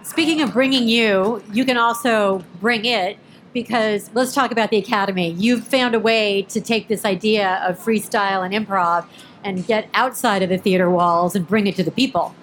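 A woman moderating a panel, asking questions in a measured way. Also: mid-range voice, a little hiss or hum.

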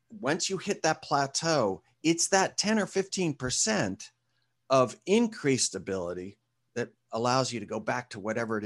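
Man telling it straight to a group. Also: medium pitch. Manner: lively storytelling, talks to a group. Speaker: guest author. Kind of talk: interview answer.

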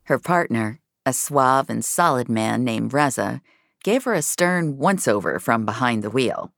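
Gravelly woman narrating dark fiction. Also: rumbling low, animated, a little slow, no room sound, clean studio sound. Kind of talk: dramatic scripted fiction narration.

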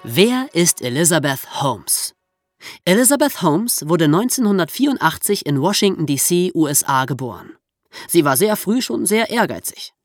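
Woman podcast host, kinda low voice, super animated intonation, explains stuff like a story. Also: normal speed, no room sound, clean studio sound, soft background music. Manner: explanatory, storytelling with casual asides. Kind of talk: conversational explainer podcast for young listeners.

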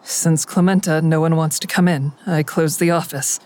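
A woman's voice: deep voice, steady tone, normal speed, darkish tone, close to an everyday voice. Anxious, conflicted woman, played by an actress in audio drama. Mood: uneasy.

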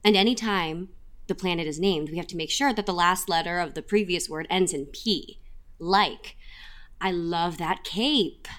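A woman playing a frazzled, exasperated lyricist in an audio comedy, repeating words rapidly under deadline stress. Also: fairly low pitch, a bit bright, close to an everyday voice. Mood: anxious, excited. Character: frazzled, over-caffeinated female musical theater lyricist under deadline. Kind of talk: comedy audio drama acting.

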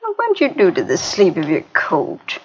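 A woman's voice: fairly low pitch, wildly swooping pitch, moderate pace, husky, exaggerated voice. An actress playing a caring older mother who fusses over her son in a 1950s radio drama. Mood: fussing. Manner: fussing, motherly. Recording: muffled old radio recording.

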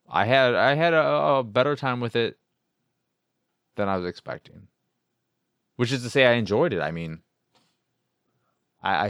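A man's voice: sits low, vocal fry, dry recording, clean studio sound. An American man from Chicago hosting a game podcast, talking casually in a rambling, sweary, self-amused way. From Chicago, United States. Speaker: video game podcast host. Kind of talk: casual solo game review talk.

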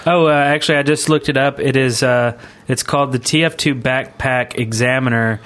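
A man with a medium-pitched voice talks as a podcast host. He explains things casually and informally, wandering a little as he goes, as if chatting with friends about games. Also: steady tone, moderate pace, fairly bright tone. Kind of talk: casual gaming podcast chat.

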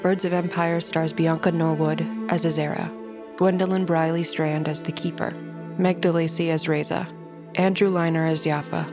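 A woman announcing the cast credits in an even, measured way. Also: sits low. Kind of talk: reading credits. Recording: music behind.

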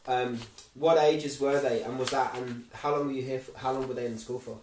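A man's voice: medium pitch, fairly even intonation, fairly quick, darkish tone, far from the mic, slight background noise. Off-mic male interviewer in echoey room. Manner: short encouraging backchannels, loose questions. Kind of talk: casual interview. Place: island nurse's surgery, small room.

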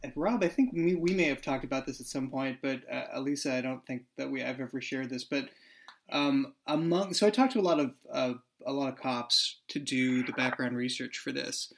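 A man with an average-pitched voice talks informally as an interview guest. He explains things through anecdotes, with a halting, conversational flow. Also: creaky. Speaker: series creator, panel guest. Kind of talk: casual anecdotal interview talk.

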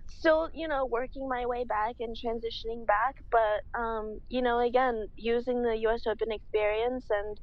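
A woman in her twenties, a pro tennis player, giving measured, unhurried interview answers with many fillers. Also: on the high side, fairly even intonation, lo-fi sound. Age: 20s.

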